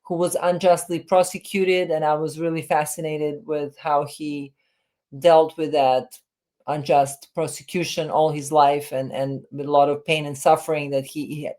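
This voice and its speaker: Woman in her fifties, deep low voice, halting speech full of fillers, video call. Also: flat delivery, darkish tone. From Serbia. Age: 50s. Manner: hesitant, many fillers and restarts. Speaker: film director and writer, guest. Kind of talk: remote interview, reflective storytelling.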